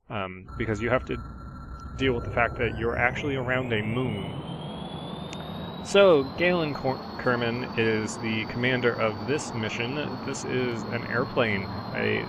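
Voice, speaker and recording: A man with a sore, sick throat talks through his gameplay in a casual, meandering voiceover, stopping often for ums and uhs. His middle-pitched voice sits on a gravelly, creaky edge. A steady hiss of noise runs underneath the recording. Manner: relaxed, rambling, many ums and uhs. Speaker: gaming channel host.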